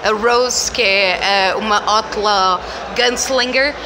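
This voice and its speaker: A woman's voice: medium pitch, slow. A woman in her twenties with a European Portuguese accent, giving enthusiastic, emphatic answers in a casual interview. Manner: enthusiastic, effusive, emphatic repetition. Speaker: game publisher community manager, interviewee. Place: indoor festival venue, by a booth wall. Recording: loud busy event-floor noise.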